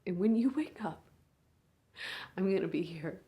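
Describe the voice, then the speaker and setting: A woman performing a monologue as a weary, grieving big sister speaking at her younger sister's bedside, moving between hushed whispers and raised anger. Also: fairly low pitch, expressive, unhurried. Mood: tender, hushed.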